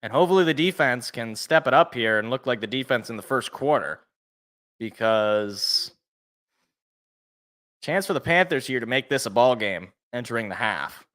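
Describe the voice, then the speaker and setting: A young man calling a football game play-by-play from a sports podcast, casual, with a slightly rough, sniffly voice from allergies. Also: mid-range voice, moderate pace. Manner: excited calls, casual asides. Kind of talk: live play-by-play commentary.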